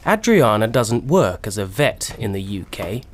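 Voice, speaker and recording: A man giving scripted narration for a language-learning documentary, with a lively delivery. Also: fairly low pitch, unhurried. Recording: narration over clear music.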